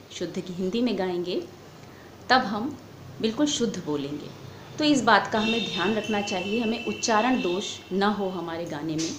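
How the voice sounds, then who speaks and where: A woman giving a formal, measured lecture as a singing teacher. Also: mid-range voice. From India. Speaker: music lecturer, vocal teacher. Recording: echoey room with faint background noise.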